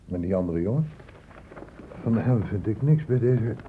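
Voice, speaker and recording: An actor playing a thoughtful, quietly insistent investigator in a Dutch radio play, deliberate and questioning. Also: male voice, on the low side, expressive, lightly acted. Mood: pensive, probing. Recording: muffled, hissy old radio recording.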